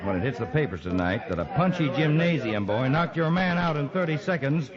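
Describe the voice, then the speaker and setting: A cool, threatening spy chief in vintage radio drama. His knowing voice moves widely in pitch, on a dull recording with voices behind. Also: sing-song, brisk, a crowd in the background. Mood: commanding. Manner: authoritative, pointed, knowing. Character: confident, wry male counterspy chief, coolly threatening. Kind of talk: 1940s radio drama acting.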